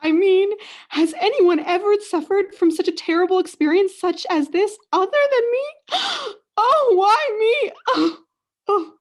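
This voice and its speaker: Woman as a high-pitched, melodramatic, self-absorbed mother. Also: animated, a little slow. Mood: overwrought, self-pitying. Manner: overwrought, theatrical, self-pitying. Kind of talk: student radio play acting.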